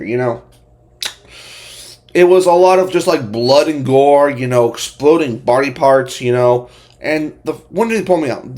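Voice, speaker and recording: A man giving a casual, unscripted movie review as an amateur host, speaking in a halting, repetitive way with many verbal tics and emphatic opinions. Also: fairly high pitch, a little mellow.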